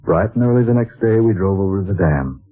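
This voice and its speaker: Low-voiced writer telling his travel story, measured and wistful, tinny old radio sound w/ music. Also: expressive. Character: Scott, an earnest male writer narrating his journey. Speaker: narrator and lead character. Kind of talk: 1940s radio drama acting.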